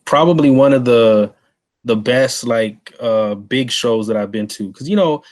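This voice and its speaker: A man's voice: mid-range voice, a little slow. A man in his forties from Texas hosting a podcast, asking questions in an eager, slangy, friendly way. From San Antonio, Texas. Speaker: podcast host. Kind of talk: casual interview questions.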